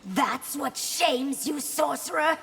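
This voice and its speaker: A woman playing a proud, vengeful young empress in a video game, coolly taunting and threatening in a deliberate way. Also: sits high, animated, gravelly, fairly bright tone, full character voice. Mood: cool threat. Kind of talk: video game drama acting.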